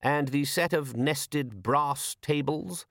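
A man playing a measured, sardonic aristocratic ruler. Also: fairly high pitch, big pitch swings, no room sound, studio quality, voice pushed up. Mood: dry irony. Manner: measured, deliberate, drily sardonic. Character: urbane, dryly ironic male aristocratic ruler.

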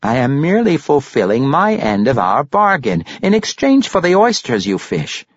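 Man playing a courtly old grandfather, swooping pitch. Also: huge pitch swings, normal speed, no room sound, muffled recording. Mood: bargaining. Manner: courtly, theatrical, amused, flowery phrasing. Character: jovial, shrewd, courtly older crime-boss grandfather.

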